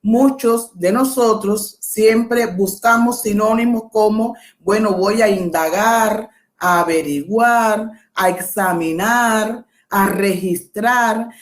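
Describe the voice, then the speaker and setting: A middle-aged Cuban woman lectures at an unhurried pace, her voice sitting at an ordinary middle pitch. She explains things step by step, pausing to think and correcting herself along the way, speaking into a computer mic on a video call. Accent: Cuban Spanish. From Cuba. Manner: measured, explanatory, with thinking pauses and self-corrections. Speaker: guest lecturer. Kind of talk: academic webinar lecture.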